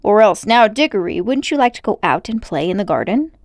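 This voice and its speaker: A woman voicing an excitable, brooding and adventurous schoolboy in a storybook, speaking emphatically. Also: mid-range voice, brisk, dry recording, clean studio sound. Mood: secretive, earnest. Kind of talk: storybook character voice.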